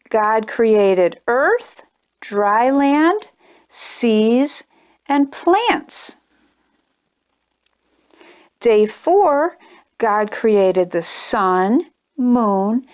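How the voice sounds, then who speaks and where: A woman voicing a gentle mother reading scripture aloud to her child, in a slow, measured recital. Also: fairly high pitch, very animated, pitched below the performer's own voice, heavily acted.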